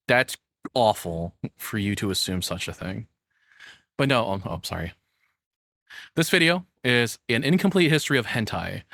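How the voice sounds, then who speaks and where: A man's voice: on the low side, a bit bright, dry recording, clean studio sound. A man giving casual, playful, tongue-in-cheek live commentary as a streamer reacting to a video.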